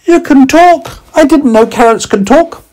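A man voicing a small, friendly little boy in a bedtime story, in a high piping voice. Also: animated, unhurried, darkish tone. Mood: eager.

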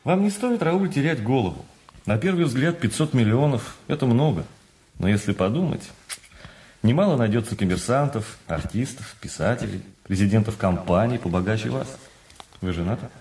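A man acting in a radio drama as an urbane, patient notary who speaks in a measured, courteous way with teasing emphasis. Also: mid-range voice, animated, mellow, slightly rough. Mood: advising, cautionary. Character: urbane, patient male notary breaking news of a fortune. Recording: studio radio play with faint music.

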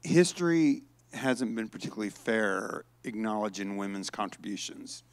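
A man's voice: fairly low pitch, lively intonation, moderate pace, no room sound. A man interviewing a guest on a conference stage, asking questions with a few restarts, speaking into a handheld mic. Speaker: interviewer, event co-founder.

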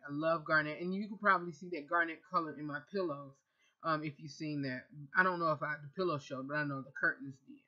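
Thirties woman vlogger, chatty and self-correcting, sharp bright voice, dull webcam sound. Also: on the low side. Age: 30s. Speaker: vlogger and radio host. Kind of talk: casual Q&A vlog. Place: bedroom, indoors. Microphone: webcam at close range.

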